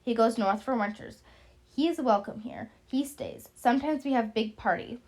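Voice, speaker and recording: A woman performs a bent little old woman from a storybook, high and squeaky. She is tipsy, jolly and effusive, speaking broken English with a French accent. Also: normal speed, a little mellow. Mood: welcoming. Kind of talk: audiobook character voice.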